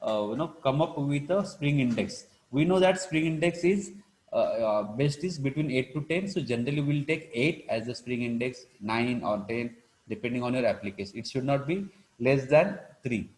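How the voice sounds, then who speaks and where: A man with an Indian accent teaching a live online engineering class, explaining step by step in a halting, repetitive way with frequent check-in words. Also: medium pitch, a little mellow, rough recording. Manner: halting, repetitive, punctuated with check-in words like "right" and "you know". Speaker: engineering lecturer.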